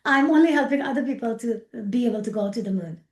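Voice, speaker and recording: A middle-aged woman with a Pakistani accent, an interview guest talking about her work with animated repetitions and fillers. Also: fairly high pitch, fast talker, roomy sound. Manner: animated, repeats words, frequent fillers, laughs easily. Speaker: rocket scientist guest. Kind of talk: casual interview chat.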